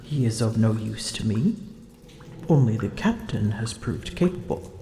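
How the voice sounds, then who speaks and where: A woman's voice: rumbling low, expressive, unhurried, dark tone, slight background noise, heavily acted. A woman voicing a haughty, drowsy and grumpy female dragon in an ASMR roleplay, speaking in formal old-fashioned phrasing and often whispering. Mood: haughty, amused. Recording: roomy echo.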